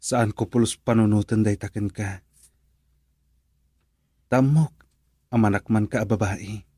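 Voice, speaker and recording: A man's voice: lowish voice, fairly even intonation, a little slow, dark tone, slightly rough, no echo, clean studio sound. A male actor with a Filipino accent playing an earnest young man in love, hesitant and pleading, in a romantic radio drama. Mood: earnest, lovestruck.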